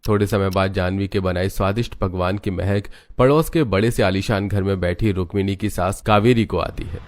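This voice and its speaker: Male storyteller, lower-pitched voice, scripted village-tale narration. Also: fairly even intonation, normal speed.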